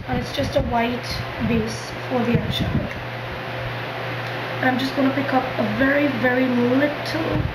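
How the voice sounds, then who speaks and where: A hoarse woman from Trinidad giving a calm step-by-step makeup tutorial. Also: sits high. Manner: calm step-by-step instructions, soft fillers. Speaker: beauty vlogger. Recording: echoey room with loud background noise.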